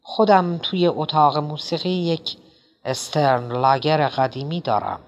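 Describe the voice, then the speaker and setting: Brief: male reader voices a nervy, mocking older man, pitched up, pleading and indignant. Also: lo-fi sound, close to an everyday voice. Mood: sneering. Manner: jittery, high-strung, wheedling. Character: rattled eccentric middle-aged man, pleading and mocking. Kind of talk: audiobook character voice.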